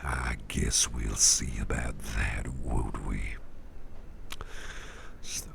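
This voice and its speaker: A man playing a doting, genteel businessman boss in an ASMR roleplay, speaking in a soft, breathy, gravelly half-whisper, unhurried, gently repeating phrases. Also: extremely low pitch, fairly even intonation, slight background noise, heavily acted. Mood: reassuring. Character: doting, genteel businessman boss, smooth-talking antique hunter. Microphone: close microphone.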